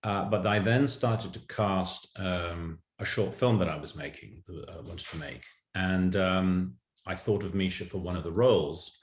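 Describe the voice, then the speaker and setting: A man's voice: sits low, a little slow, slight background noise. A man recounting events casually as an interview guest, in a hesitant, stop-and-start way. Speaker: podcast guest, writer and producer. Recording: roomy, echoing sound.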